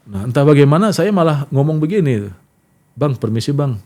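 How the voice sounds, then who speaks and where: A man's voice: fairly high pitch, moderate pace. Middle-aged man telling stories. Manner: animated anecdotes, acts out quoted dialogue. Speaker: interview guest, journalist. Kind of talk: casual conversational storytelling.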